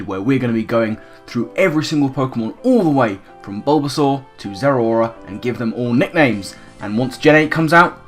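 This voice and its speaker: A man hosting a casual series in a chatty, rambling way, amused by his own wordplay. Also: mid-range voice. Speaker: host of a nickname series. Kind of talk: casual scripted-outline commentary to viewers. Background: music under the voice.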